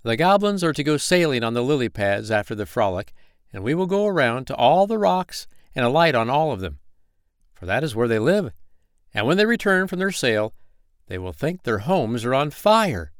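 A man voicing a wise old male firefly who lays out a plan in a deliberate, plotting tone. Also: medium pitch, sing-song, no room sound, studio quality, pitched above the performer's own voice, lightly acted. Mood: scheming.

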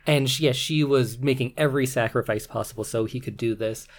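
Very deep-voiced Canadian woman. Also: fairly quick. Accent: Canadian English. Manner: frank, swears casually. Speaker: podcast co-host. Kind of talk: casual podcast chat.

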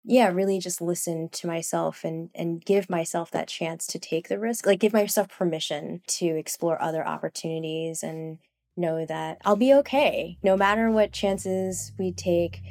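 A woman's voice: on the low side, moderate pace, a little mellow. A woman guest in a casual interview, talking in a rambling way with lots of fillers and frequent laughs. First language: Cantonese. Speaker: guest, comics artist.

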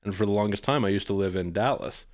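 A fairly low-voiced man from Texas hosts a casual podcast conversation. He talks freely, throwing in wry asides as he goes. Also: darkish tone. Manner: wry, talkative, quick asides. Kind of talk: casual chat.

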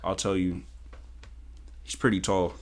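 A man's voice: low-pitched, little pitch movement, unhurried, slight background noise. A young man hosting a casual podcast, talking in a hyped, joking, slangy way. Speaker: podcast host.

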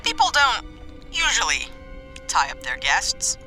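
A dry, exasperated ship captain. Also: female voice, mid-range voice, huge pitch swings, a little slow, sharp tone, faint music behind, exaggerated voice. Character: exasperated no-nonsense woman starship captain. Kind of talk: sci-fi audio drama acting.

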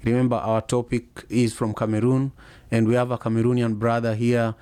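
A man hosts a casual live audio chat, his pitch staying level and unvaried. He speaks haltingly, with frequent fillers and restarts. The sound is dry and close, with no room echo. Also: little pitch movement, dark tone, clear, resonant tone. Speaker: podcast host.

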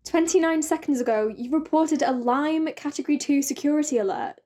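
A woman's voice: high-pitched, a little fast, mellow, natural voice. A woman playing a stern, guarded, rule-minded doctor at a space clinic in an audio drama, clipped and formal. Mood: flustered, officious. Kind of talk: sci-fi audio drama acting.

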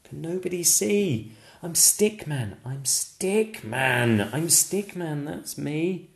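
A man's voice: on the high side, expressive, slow pace, creaky, roomy sound, pitched above the performer's own voice, exaggerated voice. A man voicing a small, brave stick figure who keeps insisting who he is in a sing-song refrain. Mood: indignant.

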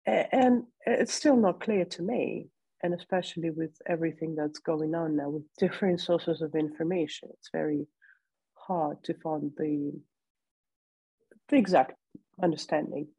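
Woman, gravelly voice, hesitant with restarts, interview guest. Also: low-pitched, measured pace, warm tone, no echo, rough recording. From Ukraine. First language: Russian. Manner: hesitant, many restarts and fillers. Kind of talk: interview conversation.

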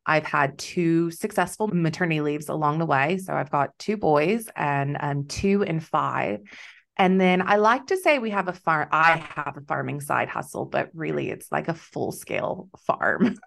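Texan woman in her 30s, guest business coach, low-pitched, upbeat, laughs easily. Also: expressive, moderate pace, gravelly. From Texas. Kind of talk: casual interview chat.

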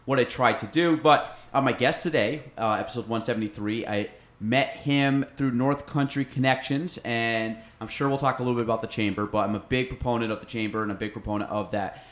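A man's voice: medium pitch, fairly even intonation, fairly quick, hardly any pauses, a bit bright. A young man hosting a podcast, chatting casually and rambling with lots of fillers. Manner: rambling, filler-heavy, jokey. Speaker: podcast host. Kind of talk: casual interview chat. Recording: echoey room.

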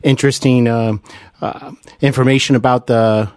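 An American man hosting an interview podcast, asking questions in a polite, conversational, unhurried manner. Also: medium pitch, steady tone, darkish tone, smooth, no room sound, clean studio sound. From United States.

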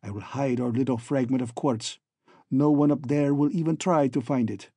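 A man's voice: medium pitch, moderate pace, warm tone, slightly rough, dry recording. A man voicing a falsely friendly, scheming hunchback villain in a story, oily and ironic, with stilted foreign-sounding phrasing. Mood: boastful, smug.

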